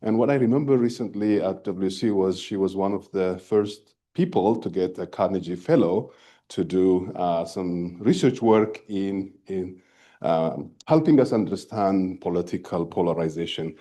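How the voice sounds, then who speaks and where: A man's voice: low voice, warm tone. A man, a workshop organizer, introduces the next speaker in a lecture room, hesitating often with fillers and restarts. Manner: halting, with many fillers and restarts.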